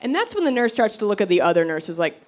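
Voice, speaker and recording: A woman performing stand-up comedy, telling stories in an animated, conversational way and acting out quotes. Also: medium pitch, fast. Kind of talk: stand-up comedy storytelling to a live audience. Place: live comedy venue on stage. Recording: live crowd laughter, noisy stage recording. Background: audience laughter.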